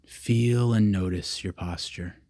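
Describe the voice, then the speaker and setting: A man leading a guided meditation, giving calm, simple, repeated instructions with long pauses between them. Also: fairly low pitch, no room sound. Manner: calm, repetitive, unhurried cues with long pauses.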